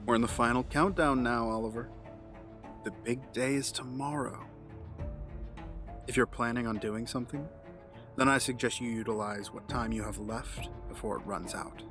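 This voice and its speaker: An actor playing a calm, condescending, menacing villain mastermind in an audio drama, measured and taunting. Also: male voice, mid-range voice, music in the background, lightly acted. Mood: coolly confident.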